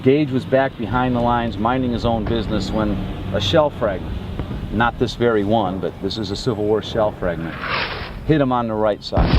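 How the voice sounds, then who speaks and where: A man working as a tour guide, telling a dramatic history story to tourists in deliberate, short phrases. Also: fairly low pitch. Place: outdoors on a battlefield. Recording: clear outdoor ambient noise.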